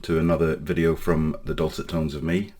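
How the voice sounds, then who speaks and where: A man in his forties from Northern Ireland with a mostly English accent, telling his life story to camera in a halting way, with many pauses and restarts. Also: a bass voice, level tone, darkish tone. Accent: English with Northern Irish traces. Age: 40s. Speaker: online safety vlogger.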